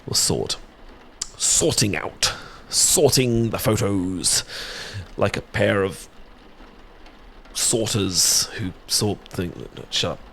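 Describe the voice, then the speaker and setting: A British man playing an affectionate, playful young best friend in an intimate, casual ASMR audio roleplay, chatting and teasing with soft tag questions. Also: lowish voice, lively intonation, slow pace, creaky, long pauses, a little hiss or hum, full character voice. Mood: fond, chatty.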